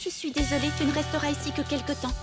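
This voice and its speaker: A woman voicing a sweet, anxious teenage girl in a French animated series dub, pleading earnestly. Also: high voice, very fast, loud music behind the voice, natural voice. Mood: worried, secretive. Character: sweet anxious teenage girl hiding her unicorn friend.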